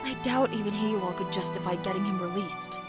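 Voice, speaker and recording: A woman's voice: mid-range voice, a little fast, loud music behind the voice, lightly acted. A woman playing an anxious, protective young elder sister in an audio drama, earnest and uneasy. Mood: worried, grave.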